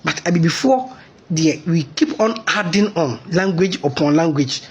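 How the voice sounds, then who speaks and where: A man's voice: fairly high pitch, lively intonation, a little slow, a little mellow, breathy edge, muffled recording. A Ghanaian man with a Ghanaian accent giving an impassioned commentary monologue, mixing Twi and English.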